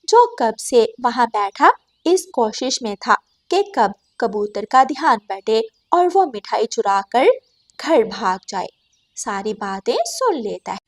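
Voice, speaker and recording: A woman's voice: a little high, no room sound. A woman reading scripted narration for a children's cartoon story.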